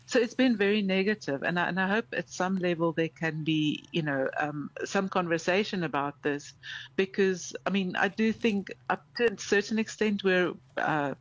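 A guest from South Africa speaking from Kenya, talking in a measured, thoughtful way and often repeating words while gathering thoughts. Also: mid-range voice, no echo, lo-fi sound. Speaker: guest commentator. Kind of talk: thoughtful conversational analysis. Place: in Kenya, remote call.

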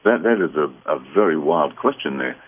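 A man with an Australian accent and a husky voice, speaking earnestly as a guest on a radio talk show. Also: a bass voice, animated, moderate pace. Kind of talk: earnest interview conversation. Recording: dull phone-like radio line.

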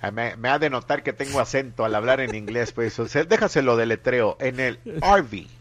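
A man's voice: mid-range voice, expressive, fairly quick, highs cut off. Joking radio host, man. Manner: playful, teasing, reads listener messages aloud. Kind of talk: joking morning radio banter.

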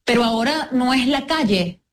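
A woman's voice: on the high side, expressive, normal speed, a little hiss or hum. A woman from La Guaira with a Venezuelan accent, giving reflective personal testimony as an interview guest. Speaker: interview guest, disaster survivor.

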